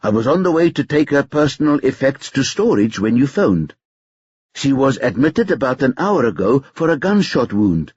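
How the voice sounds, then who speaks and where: A man voicing a polite, brisk female hospital nurse giving news about a patient. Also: medium pitch, big pitch swings, a little mellow, slightly rough, dry recording, lo-fi sound. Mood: helpful. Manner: polite, informative.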